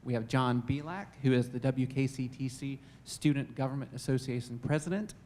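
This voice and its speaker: A man's voice: mid-range voice, fairly quick, a little mellow. A man, a college dean, moderating a panel discussion, hesitant, with many "uh"s and repeated words. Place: campus event room.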